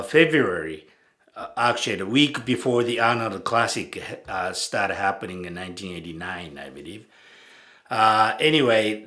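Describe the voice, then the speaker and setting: A man from Japan speaks English with a strong Japanese accent, taking his time over every phrase. His voice is bright and forward, and his talk is halting, with frequent fillers and restarts. He sounds like a casual host recalling past events. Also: mid-range voice. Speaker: channel host. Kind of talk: casual spoken recollection.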